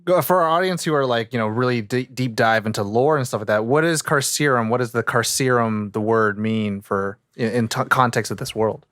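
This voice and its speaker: Male podcast host, crisp clear voice, medium pitch, very dry sound, casual chat. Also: a little fast, hardly any pauses, fairly bright tone, dry recording.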